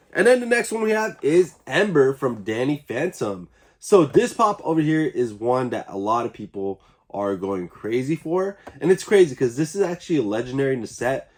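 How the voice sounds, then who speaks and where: A man's voice: on the low side, a little mellow. A young man with a stuffy, congested voice, chatting enthusiastically as he hosts a casual unboxing vlog. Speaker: YouTube collector channel host.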